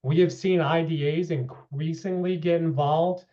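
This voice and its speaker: A man with a somewhat high, mellow voice, slightly hoarse from a long day, briefing a public meeting on housing figures. He talks in a steady presenter manner with casual asides. The sound is dull and lo-fi. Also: unhurried. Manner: briefing with data, asides of okay and you know.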